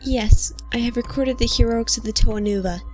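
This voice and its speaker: A high-pitched little robotic chronicler, voiced by a woman in a cartoon fan dub. Earnest and wary, the figure speaks plainly and stands up to threats, with faint music underneath. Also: moderate pace, darkish tone, natural voice.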